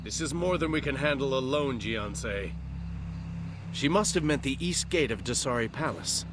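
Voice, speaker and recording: A man's voice: medium pitch, lively intonation, moderate pace, natural voice. A man playing an earnest, exasperated rebel ally in a video game, speaking with an incredulous, urgent manner. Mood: worried, incredulous. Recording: music behind the voice.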